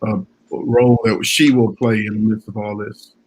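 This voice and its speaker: A man's voice: lowish voice, flat delivery, normal speed, darkish tone, slight background noise, rough recording. A man hosting an interview, asking questions thoughtfully with many filler words. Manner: thoughtful, many ums and short affirmations. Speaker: interview host. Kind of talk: casual interview conversation.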